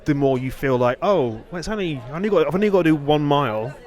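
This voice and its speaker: A man's voice: on the high side, animated, fast, darkish tone. Rambling Englishman chatting over crowd murmur. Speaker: podcast host. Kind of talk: casual two-person chat.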